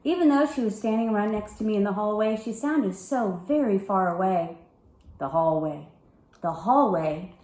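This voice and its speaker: A woman reading a children's book chapter aloud, with expressive, deliberate and dramatic storybook phrasing. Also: medium pitch, roomy sound.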